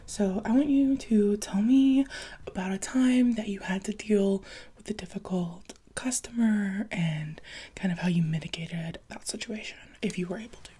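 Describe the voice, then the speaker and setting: A woman speaking very softly and breathily, often in a whisper, as a gentle, encouraging interviewer in an ASMR roleplay. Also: medium pitch, normal speed, breathy edge. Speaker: ASMR roleplayer playing a restaurant job interviewer.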